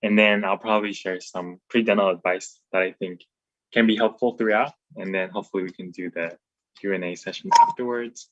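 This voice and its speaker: A man's voice: low voice, fairly even intonation, fairly quick, dry recording, highs cut off. A man in his twenties from Taiwan, a dental resident giving an easygoing slide talk over a video call, with many ums and uhs. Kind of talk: informal slide presentation.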